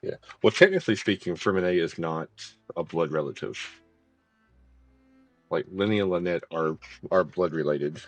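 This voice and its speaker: Young male streamer, casual commentary, husky, dry close mic. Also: deep voice, lively intonation, normal speed, dry recording, soft background music. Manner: rambling, laughs and mimics characters. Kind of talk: casual live game commentary.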